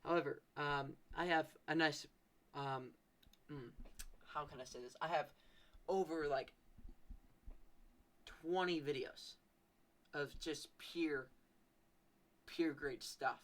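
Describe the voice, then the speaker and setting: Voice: mid-range voice, fairly even intonation, takes it slowly, long pauses, a bit bright. A podcast host casually commenting on their own old videos, with ironic hype and a habit of echoing phrases.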